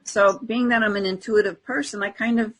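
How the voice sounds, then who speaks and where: A woman co-hosting a podcast as an energy coach, talking casually in a husky, breathy voice. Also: medium pitch, steady tone, fairly quick.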